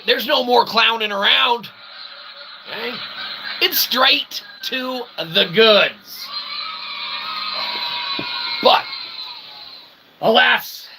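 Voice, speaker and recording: A man hosting a comedy show in a rambling, mock-earnest monologue, halting and trailing off between phrases. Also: sits high, creaky, background noise. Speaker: comedy show host and author.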